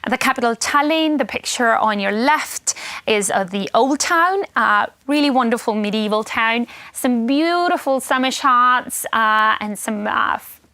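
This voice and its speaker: A woman's voice: a little high, lively intonation, unhurried, bright, forceful tone, dry recording. A native Estonian woman with an Estonian accent gives an informational webinar presentation in an upbeat, promotional manner. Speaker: education marketing presenter.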